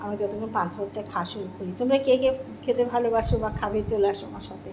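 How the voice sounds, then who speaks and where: A woman's voice: medium pitch, very fast. Female home vlogger, casual and chatty, repeats herself and quizzes viewers; roomy echo, noisy recording. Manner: repeats phrases, addresses viewers with questions. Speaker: home cooking and eating vlogger. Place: indoors at home. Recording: echoey room with clear background noise.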